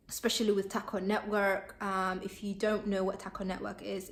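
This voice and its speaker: A bubbly young woman with a lilt talks straight to her camera, chatty and full of "like" and "um". Her is Somali, and she sounds relaxed and personal, as if speaking to her followers. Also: mid-range voice. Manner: bubbly and chatty, many fillers. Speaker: vlogger. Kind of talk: casual chat to camera.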